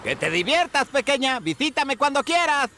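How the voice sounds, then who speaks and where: A friendly, scatterbrained cartoon seagull. The voice is high and sharp and swings wildly up and down as it rambles and exclaims. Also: male voice, big pitch swings, normal speed, slight background noise, exaggerated voice. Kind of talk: animated video game voice acting.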